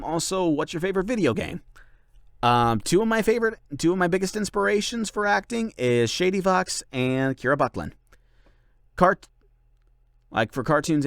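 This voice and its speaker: Chatty young man, lively and dry. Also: a little high, dry recording. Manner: rambling, filler-heavy, many likes and ums. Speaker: channel host and voice actor. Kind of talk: casual Q&A chat.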